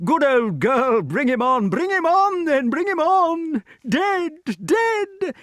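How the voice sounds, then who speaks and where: A man voicing an excitable, optimistic old-fashioned storybook king with a whooping, exclamatory delivery. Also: very high voice, slow, dry recording, clean studio sound, pitched above the performer's own voice, exaggerated voice. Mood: exultant, cheering.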